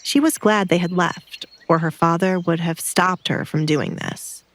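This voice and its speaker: A woman's voice: lowish voice, a little slow. A woman giving scripted, dramatic third-person narration of a story.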